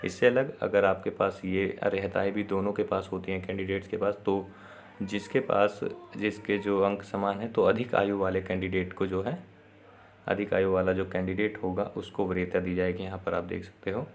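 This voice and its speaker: A man giving an informal instructional explainer, addressing viewers directly and often pausing on short confirmations. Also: low voice, little pitch movement, slight background noise. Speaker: presenter of an exam and job information video.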